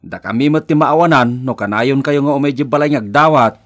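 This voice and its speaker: A man's voice: mid-range voice, fairly quick, natural voice. A Filipino man with an Ilocano accent acting a bewildered young husband in a radio drama, questioning and pleading. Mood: reproachful. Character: bewildered husband caught between wife and mother.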